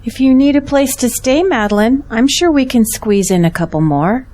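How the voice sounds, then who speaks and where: An actress playing a gentle, devout and hospitable churchwoman with a sincere manner in an audio drama. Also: fairly high pitch, expressive, moderate pace, slight background noise, natural voice. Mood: kind.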